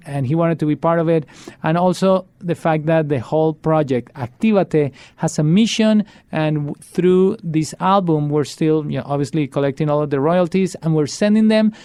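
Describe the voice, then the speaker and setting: A man, a musician guest, answering interview questions in a relaxed storytelling way with fillers and restarts. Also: on the high side, clean tone, dry recording. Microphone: studio microphone.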